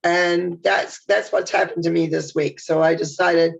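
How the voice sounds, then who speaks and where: An older woman talks through a stuffed-up nose in a chatty, meandering video message. Her voice has a gravelly fry, and the sound is dull and lo-fi. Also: lowish voice, steady tone, a little slow. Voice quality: nasal. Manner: chatty, warm and reflective, with rambling restarts. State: congested from a head cold. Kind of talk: casual spoken video message.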